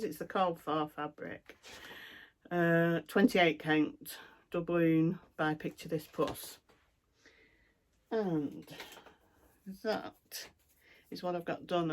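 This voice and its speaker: A woman's voice: fairly low pitch, darkish tone. A middle-aged woman with a broad Lancashire accent, chatting casually about her cross-stitch projects, with lots of ums and asides. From North West England. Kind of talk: casual show-and-tell chat.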